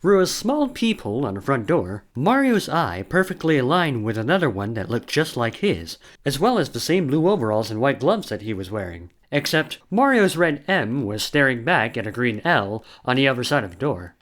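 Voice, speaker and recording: A woman's voice: very deep voice, sing-song, moderate pace, hardly any pauses. A woman reading scripted narration for an audio play in a descriptive storytelling manner.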